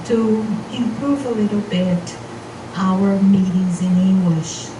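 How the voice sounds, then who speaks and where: A woman whose first language is Portuguese, leading a spiritual seminar in English, speaking carefully and gently guiding her listeners. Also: mid-range voice, fairly even intonation. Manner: slow, careful, gently guiding, with frequent restarts. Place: meeting hall of a spiritist society. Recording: echoey hall, voice from far off, noisy.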